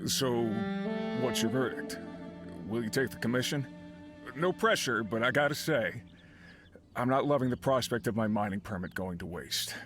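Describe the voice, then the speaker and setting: An actor playing a plain-spoken, worried miner and crew leader in a video game, earnest and conversational. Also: male voice, mid-range voice, moderate pace, natural voice. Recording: music behind voice. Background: game music.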